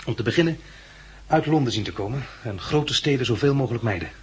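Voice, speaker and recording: An actor in a 1960s Dutch radio drama playing a practical, grimly realistic male survivor, matter-of-fact and thinking aloud with hesitant pauses. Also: mid-range voice, expressive, breathy edge. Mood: urgent, grim. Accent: Dutch, from the Netherlands.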